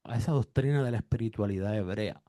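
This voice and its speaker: A man hosting a conversational religious interview, speaking haltingly and restating his phrases and questions as he goes. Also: lowish voice, dark tone, no echo, studio quality. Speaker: interview host.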